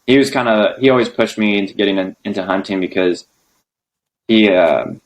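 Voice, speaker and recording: A young man speaking as a podcast guest, relaxed and hesitant, with many ums. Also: lowish voice, normal speed, low-quality recording. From United States. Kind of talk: casual interview conversation.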